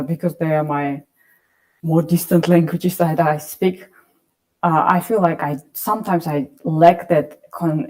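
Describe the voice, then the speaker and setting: Woman, low mellow voice, halting and thoughtful, panel speaker. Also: low-quality recording. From Russia. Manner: thoughtful, searching for words, frequent restarts. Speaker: panelist, poet. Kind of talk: panel discussion.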